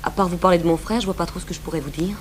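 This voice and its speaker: An actress as a cool, lower-voiced young woman. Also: rapid-fire, close to an everyday voice. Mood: cool, detached. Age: young adult. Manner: cool, matter-of-fact. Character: cool, detached young bourgeois sister of victim. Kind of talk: 1980s French radio drama acting. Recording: faint noise and hum, old radio recording.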